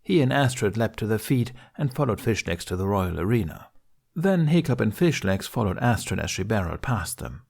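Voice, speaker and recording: A man's voice: lowish voice, very animated, brisk, vocal fry, darkish tone. A man reading a scripted story aloud as its narrator. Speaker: amateur story reader.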